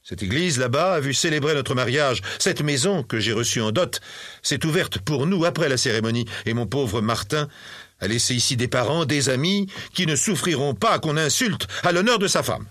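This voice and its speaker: Man voicing a proud, indignant young mother, husky. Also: on the low side, expressive, a little fast, few pauses, a bit bright, no room sound. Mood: wounded indignation. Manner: emotional, emphatic.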